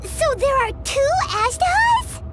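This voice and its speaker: A tiny, extremely squeaky companion. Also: female voice, sing-song, slow pace, exaggerated voice. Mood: startled, bewildered. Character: small, childlike companion, squeaky and excitable. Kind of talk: video game voice acting. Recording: loud background music under the voice.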